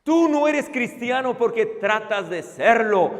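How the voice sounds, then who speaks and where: High-voiced preacher in a reverberant hall. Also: male voice, expressive, measured pace. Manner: emphatic, rhetorical questions to the audience. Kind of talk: conference sermon. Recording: live hall recording with long reverb.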